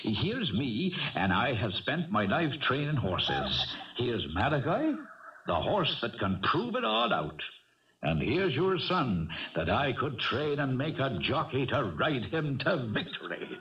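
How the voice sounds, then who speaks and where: Casting: small scheming horse trainer, folksy and very animated, old radio play, heard off the mic. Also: male voice, mid-range voice, a little slow, far from the mic. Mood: earnest, persuasive. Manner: folksy, stammering, exclamatory. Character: small, excitable old-school horse trainer, dreamer, sweet-talking schemer. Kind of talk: 1970s radio drama acting. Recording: dull vintage radio recording, off-mic, background clamour.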